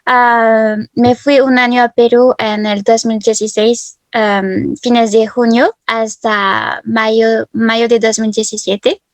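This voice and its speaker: A woman in her twenties from France, telling her personal story as an interview guest, hesitant, with many fillers and soft laughs, over a video call. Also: on the high side, flat delivery, slow pace, few pauses, no room sound. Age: 20s. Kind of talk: personal testimony.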